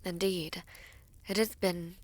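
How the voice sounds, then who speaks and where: A woman playing a composed young princess in a fantasy audio roleplay, speaking in formal, deliberate, stately phrases. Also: fairly low pitch, fairly even intonation. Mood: diplomatic, probing. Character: composed young princess negotiating a peace treaty, regal and measured.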